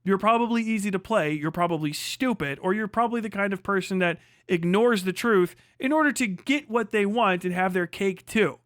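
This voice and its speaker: A man with a notably high voice delivers off-the-cuff livestream commentary in a close, dry sound. He rants combatively, swears freely and hammers points home by repeating them. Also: a little fast, hardly any pauses, a bit bright, dry recording. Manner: profane, combative, ranting; emphatic repetition of phrases. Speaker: livestreamer reacting to a video.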